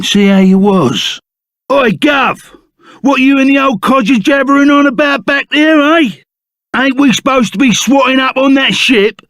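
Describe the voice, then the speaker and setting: Animated Cockney bandit sidekick, creaky voice. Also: male voice, extremely high pitch, no echo, clean studio sound, full character voice. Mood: excited, badgering. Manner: rough colloquial slang, blunt and emphatic. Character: gruff, loyal reformed bandit sidekick, plain-spoken and excitable. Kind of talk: video game character voice acting.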